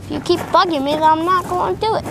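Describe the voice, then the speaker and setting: High-pitched young vlogger, very noisy recording. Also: quick pace, vocal fry. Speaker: vlogger trying out a voice changer app. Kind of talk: casual chat. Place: outdoors in a yard. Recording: very noisy outdoor handheld recording.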